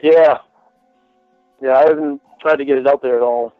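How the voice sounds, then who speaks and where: A man with a stutter talking casually in a halting, defensive way. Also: medium pitch, steady tone, no echo. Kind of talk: casual phone conversation. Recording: tinny phone-like line, music behind.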